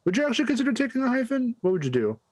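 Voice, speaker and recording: A man's voice: very high-pitched, big pitch swings, racing, dry recording. A man from the United States chatting on a stream, rambling and joking with frequent restarts. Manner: rambling, jokey, restarts often. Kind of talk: casual chat.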